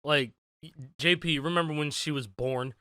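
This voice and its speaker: Young male podcast co-host, bright tone, dry close mic, relaxed talker. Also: a little high, clean tone, no room sound. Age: 20s. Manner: laid-back, swears casually, quick laughs. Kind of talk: casual chat.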